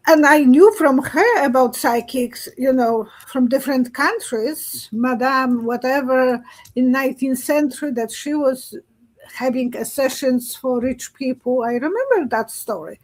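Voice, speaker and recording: A woman speaks English as a while hosting a chat on a video call. Her voice sits high, and she asks her questions eagerly. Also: unhurried, rough recording. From Poland. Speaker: host, psychic. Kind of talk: casual chat.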